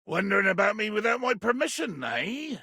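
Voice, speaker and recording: A man playing a pompous, belligerent sea captain in a video game, with a raspy voice and a theatrical, grandiloquent delivery. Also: high voice, sing-song, brisk, pushed and bright, no echo, studio quality, exaggerated voice. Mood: boastful. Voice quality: gravelly, raspy.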